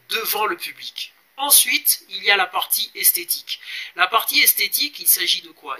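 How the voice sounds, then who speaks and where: A man in his forties from Congo, explaining a fitness challenge in a casual vlog, pausing often with fillers and urging viewers to join. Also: high voice, pushed and bright. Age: 40s. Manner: hesitant, many pauses and fillers, urging viewers. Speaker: fitness vlogger.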